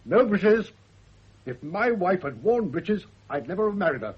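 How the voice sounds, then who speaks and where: High, husky crotchety old British general. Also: male voice, very animated, moderate pace, heavily acted. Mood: opinionated. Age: older. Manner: blunt, bossy, opinionated. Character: crotchety, domineering old retired general and father. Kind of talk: 1970s radio drama acting. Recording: dull lo-fi old radio recording.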